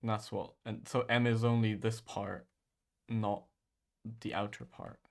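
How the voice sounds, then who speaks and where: A man's voice: on the low side, level tone, measured pace, creaky. A young man in a casual study chat, asking questions informally as a co-host.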